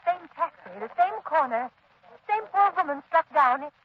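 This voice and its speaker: A woman's voice: sits high, expressive, normal speed. The devoted, fearful companion in an old radio mystery. Her delivery is emotive and full of dismay. The dated broadcast recording sounds dull. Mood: dismayed, pitying. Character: frightened, devoted woman companion of a crime fighter. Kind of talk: 1930s radio acting.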